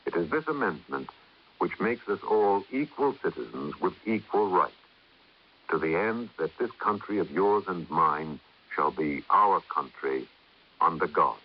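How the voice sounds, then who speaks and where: A man reading a solemn, measured public service announcement in a declamatory 1950s radio style. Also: deep voice, animated. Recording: muffled, faintly hissy vintage radio recording.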